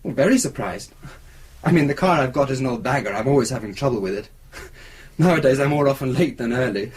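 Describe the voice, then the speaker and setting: A man's voice: mid-range voice, animated, fairly quick, lightly acted. An actor playing an anxious, defensive boyfriend in a radio drama, hesitant as he explains himself. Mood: apologetic. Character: anxious, defensive young boyfriend of a missing woman. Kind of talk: naturalistic radio drama acting.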